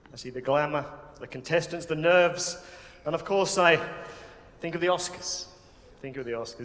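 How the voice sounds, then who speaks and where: An English man hosting an awards gala with a joking, self-mocking, chatty manner. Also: high voice. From England. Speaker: awards host. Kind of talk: comic stage hosting. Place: large banquet hall with audience. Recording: long hall reverb.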